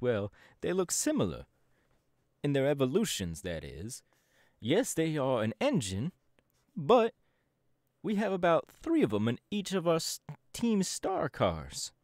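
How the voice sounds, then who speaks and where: A man's voice: medium pitch, wildly swooping pitch, measured pace, a little mellow, dry recording, studio quality. A man playing a cocky young DJ gang boss. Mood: boastful. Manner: boastful, swaggering, talks to the listener. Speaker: Team Star leader in a roleplay. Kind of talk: audio roleplay.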